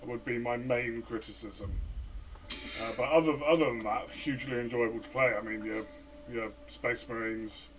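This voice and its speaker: A man's voice: medium pitch, steady tone, moderate pace, far from the mic, slight background noise. A man co-hosting a casual game review chat, hesitant and full of fillers and restarts. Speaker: podcast co-host, player.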